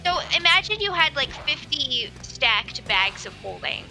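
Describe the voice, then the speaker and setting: A woman's voice: high voice, sing-song, measured pace, creaky, sharp tone. A woman chatting casually over a video game, playfully questioning and debating. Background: game music.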